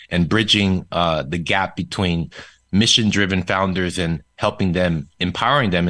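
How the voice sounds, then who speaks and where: A man's voice: sits low, fairly bright tone, no echo. A man from South Korea whose first language is Korean, telling his story as a guest in a casual interview, with frequent fillers. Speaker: podcast guest.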